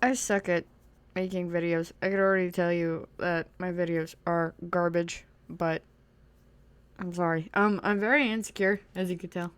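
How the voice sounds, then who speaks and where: A woman's voice: on the low side, fairly even intonation, moderate pace, clean tone. A young woman vlogging from her bedroom, telling a personal story in a hesitant way with many ums and restarts while she plays a driving game. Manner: hesitant, lots of ums and restarts, self-deprecating; talks while playing a driving video game. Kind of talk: casual personal story time.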